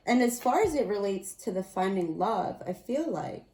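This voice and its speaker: A woman with a slightly raspy voice giving a casual tarot card reading, chatty and often thinking aloud as she corrects herself. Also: medium pitch, animated, normal speed, dark tone, roomy sound, rough recording.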